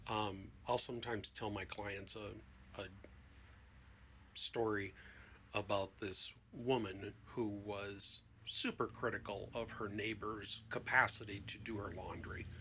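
A man's voice: on the low side, steady tone, slow pace, pushed and bright, slight background noise. A man talking as a therapist guest in a conversation, explaining things emphatically with illustrative examples.

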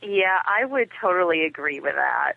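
A man's voice: high-pitched, expressive, moderate pace, vocal fry, phone-quality sound. A man chatting casually as a podcast guest and freely giving review opinions.

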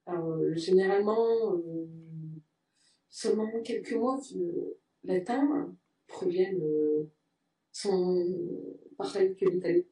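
A woman's voice: fairly low pitch, slow, dark tone, roomy sound, distant mic, low-quality recording. A woman teacher talking casually in an interview, hesitant with many fillers. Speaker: French teacher, interview guest. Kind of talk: casual conversation.

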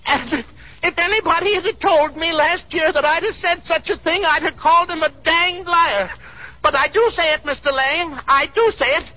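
A man's voice: extremely high pitch, moderate pace. An actor playing an overwrought middle-aged father in a 1930s radio drama, agitated, stammering and folksy in his speech. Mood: anguished, indignant. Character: overwrought aging father, ruined small factory owner, defiant. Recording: muffled 1930s radio broadcast recording.

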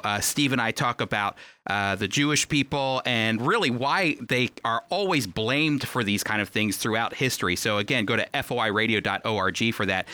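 A man with a medium-pitched, forceful voice hosts and teaches on the radio. He talks to listeners directly and earnestly, in a conversational, encouraging style. The sound is dry, with hardly any room in it. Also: clear, resonant tone, dry recording. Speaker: radio host and Bible teacher. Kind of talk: conversational Bible teaching and commentary. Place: remote home recording, dry.

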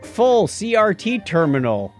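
A man with a fairly high voice and very lively, swooping intonation. He talks like a podcast host in casual, playful chat, with music faintly in the mix. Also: measured pace.

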